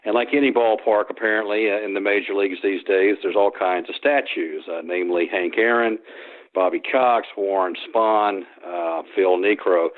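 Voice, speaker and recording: A man's voice: fairly low pitch, fairly even intonation, normal speed. A man, a sports historian, answering interview questions by phone, rambling with frequent restarts and fillers. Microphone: telephone. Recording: tinny phone line.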